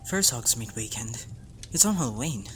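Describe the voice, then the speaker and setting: A woman's voice: extremely low pitch, expressive, moderate pace, over music. A woman playing a blunt, grumpy teenage schoolboy with an indignant manner in an audio drama. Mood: blunt, urging. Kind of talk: fan audio drama acting.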